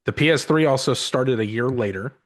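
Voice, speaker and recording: Brief: male podcast co-host, rambling storyteller, mid pitch, many ums. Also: brisk. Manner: rambling, full of filler words. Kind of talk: casual chat.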